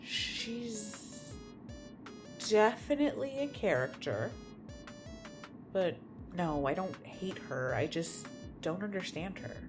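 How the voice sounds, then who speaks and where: A woman's voice: fairly low pitch, expressive, slow pace, pauses often, music in the background. A woman acting in an audio drama as a frank, preoccupied young friend with a teasing side. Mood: distracted.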